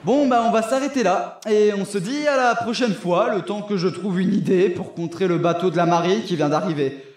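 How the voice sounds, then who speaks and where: A man's voice: high voice, normal speed, reverberant. A man casually narrating a comic audio drama in an offhand, chatty way.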